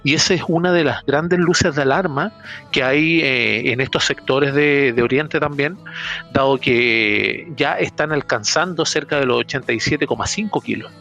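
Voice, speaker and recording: A man with a Chilean accent, a podcast panelist who explains international news in a relaxed way and often restarts his phrases. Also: medium pitch, normal speed, vocal fry, highs cut off, faint music behind. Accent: Chilean Spanish. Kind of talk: casual podcast news roundup.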